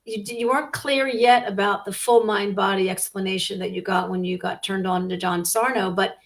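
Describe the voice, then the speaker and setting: A woman's voice: mid-range voice, fairly even intonation, fairly quick. A woman hosting a conversational interview, affirming her guest and repeating phrases for emphasis.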